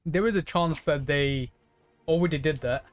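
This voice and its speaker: A streamer commentates casually on a game as they play. The voice sits at a middling pitch and is chatty, with playful exasperation. Music plays behind the talk. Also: normal speed.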